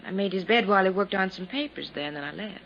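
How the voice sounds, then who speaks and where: An actress playing a timid, deferential hotel chambermaid giving hesitant testimony in a 1950s radio drama. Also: female voice, mid-range voice, fast talker, vocal fry, fairly bright tone. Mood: timid, careful. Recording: dull old 1950s radio recording, faint noise.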